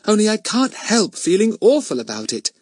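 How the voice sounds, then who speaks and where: Man voicing an earnest, high-pitched schoolgirl. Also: very animated, moderate pace, darkish tone, no echo, clean studio sound. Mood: anxious. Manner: earnest, worrying. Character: earnest, anxious, kind-hearted schoolgirl.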